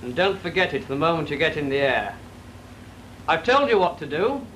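A man's voice: a little high, big pitch swings, normal speed, fairly bright tone, low-quality recording. An actor playing a firm male officer briefing pilots, speaking emphatically in a 1940s training film. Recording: hissy 1940s film soundtrack.

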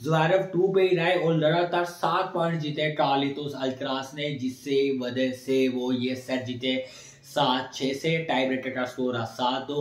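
A young man hosting a sports channel, giving an energetic post-match tennis analysis to camera from a small indoor room, listing scores in quick runs. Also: mid-range voice, reverberant. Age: young adult. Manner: energetic, recaps scores in quick lists, gestures while talking.

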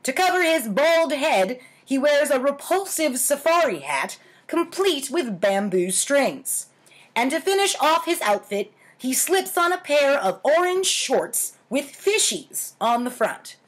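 A woman in her thirties with an American accent, reading stories aloud in a theatrical, wry, deliberate way with casual asides. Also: high-pitched, very animated. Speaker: host of a storytime series. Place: home kitchen, indoors.

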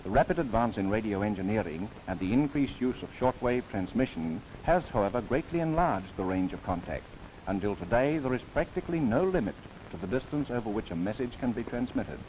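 A man's voice: on the low side, lively intonation, fairly quick, a little mellow, slight background noise, low-quality recording. A man narrating a scripted 1930s government documentary in formal, theatrical phrasing with wry asides, on an old film soundtrack.

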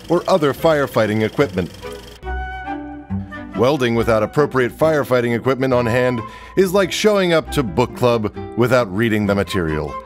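A young man presenting a scripted workplace safety video in a wry, deadpan joking manner, recorded in a large industrial workshop. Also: mid-range voice. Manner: wry, deadpan jokes between safety tips. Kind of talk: scripted comedic safety narration.

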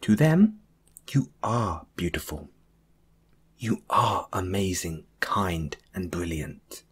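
Man, very breathy and husky, hushed, slow pace, gentle reassurance, intimate roleplay monologue. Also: low-pitched, expressive. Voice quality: breathy, hushed, at times whispering. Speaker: audio roleplay performer. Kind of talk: soft comforting audio roleplay monologue.

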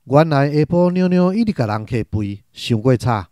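A man with a Taiwanese accent narrating a radio drama in an expressive, literary storytelling style. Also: on the high side, normal speed, no echo, studio quality.

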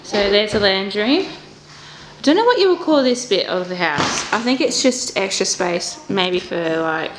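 A woman's voice: mid-range voice, lively intonation, measured pace, reverberant. A young Australian woman in her twenties chatting casually to camera at home, hesitant and stop-start with a slight stutter. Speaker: vlogger. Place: inside a house. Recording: clear background noise on home camera audio.

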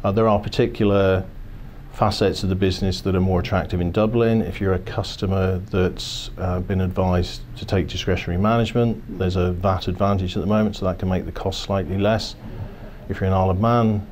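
A man in his fifties, a financial services executive, giving measured, corporate explanations in a seated office interview, often restarting his phrases. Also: sits low, fairly even intonation, a little mellow, a little hiss or hum. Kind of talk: business interview. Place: office, indoors.

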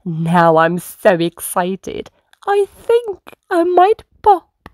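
A woman voicing an excitable young bear cub in a children's storybook, with dramatic, exclamatory delivery. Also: medium pitch, drawn-out words, no echo, studio quality, exaggerated voice. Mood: excited. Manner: exclamatory, dramatic, breaking into whispers and squeals. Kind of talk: children's storybook read-aloud.

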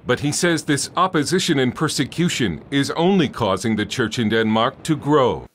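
A man narrating a scripted television news report in a measured reporter's delivery. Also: medium pitch, animated, a little hiss or hum.

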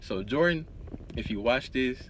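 A man's voice: medium pitch, expressive, unhurried. A man, chatting casually as a prank vlogger inside a car. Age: 20s. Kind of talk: casual vlog chat. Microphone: handheld phone at arm's length. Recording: noisy car interior, phone camera audio.

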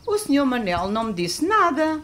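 A woman's voice: high voice, sing-song, normal speed, fairly bright tone, slight background noise. A woman playing a naive, flustered young grocery assistant in a radio soap, protesting innocently in a European Portuguese accent. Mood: innocent, puzzled. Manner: innocent, protesting, comically literal. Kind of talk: old-fashioned radio soap acting.

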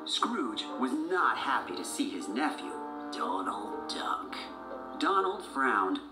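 A man's voice: mid-range voice, sing-song, slow, echoey room, loud music behind the voice. A man narrating a children's storybook from a script.

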